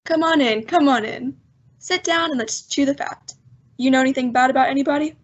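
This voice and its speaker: A woman's voice: high voice, a little fast, natural voice. A woman playing a breezy, wisecracking male newspaper man in an old-time radio drama, chatty and slangy. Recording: dull lo-fi audio.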